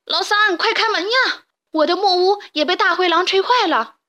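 A woman voicing the middle of three little pigs, a stubborn, eager piglet who talks quickly. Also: fairly high pitch, animated, fairly bright tone. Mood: frantic.

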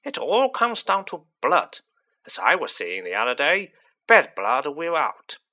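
Man putting on a loud, boozy, spiteful aunt. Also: fairly high pitch, huge pitch swings, a little slow, pitched above the performer's own voice, full character voice. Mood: contemptuous. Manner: blustering, emphatic, contemptuous. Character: boorish, spiteful, boozy middle-aged aunt, loud and snobbish. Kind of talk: storybook character voice.

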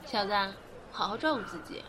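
A woman's voice: mid-range voice, expressive, brisk, pushed and bright, a little hiss or hum. An actress playing a feisty, teasing young girlfriend in a Mandarin radio drama. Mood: teasing threat. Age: young adult. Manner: teasing, mock-threatening. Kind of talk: Mandarin radio drama acting with first-person narration. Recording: music behind the voice.

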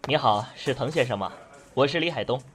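一名男配音演员扮演一位礼貌热情的公司职员。. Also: male voice, medium pitch, expressive, a little fast, fairly bright tone, natural voice. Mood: courteous. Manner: courteous, sociable. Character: polite friendly company man.

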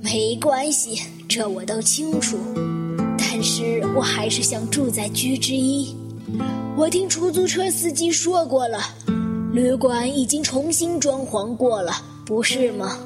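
Slow-spoken, composed elderly lady played by a woman. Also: high-pitched. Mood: courteous, insistent. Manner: slow, courteous, measured. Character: elderly widow guest, polite, composed, quietly insistent. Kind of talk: Chinese audio drama acting. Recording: guitar music under the voice.

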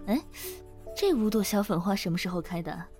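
Calm, teasing female office manager, acted by a woman. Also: medium pitch, fairly quick, music in the background, natural voice. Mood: warm, teasing. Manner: gentle, soothing, lightly teasing. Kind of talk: modern audio drama acting.

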